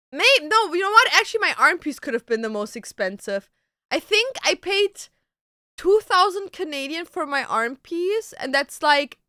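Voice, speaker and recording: A woman's voice: a little high, sharp tone, dry recording, clean studio sound. A young woman, a tattoo artist, chatting casually with her stream viewers and answering their questions in a loose, talkative way. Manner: chatty, loose, peppered with "like" and "dude".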